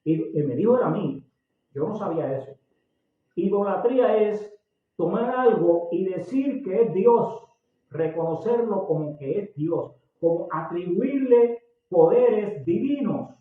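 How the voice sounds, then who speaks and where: A man in his sixties from Puerto Rico with a Puerto Rican accent, preaching a sermon emphatically, getting loud and slowing down on key words. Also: high voice, lively intonation. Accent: Puerto Rican Spanish. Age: 60s. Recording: tinny, echoey, distant recording.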